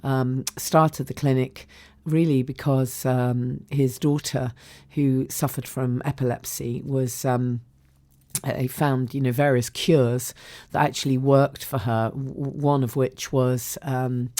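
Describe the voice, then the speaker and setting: A woman hosting a podcast in a croaky voice, chatting casually and rambling with many ums and restarts. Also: rumbling low, steady tone, takes it slowly, mellow, no echo. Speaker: podcast host. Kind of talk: casual solo podcast chat.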